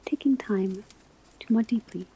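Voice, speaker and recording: Woman acting as witch academy teacher, breathy whisper, soft mellow tone, formal, patient, precise, ASMR roleplay lecture. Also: mid-range voice, a little slow, low-quality recording. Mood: calm, instructive. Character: patient, formal witch-school palmistry professor.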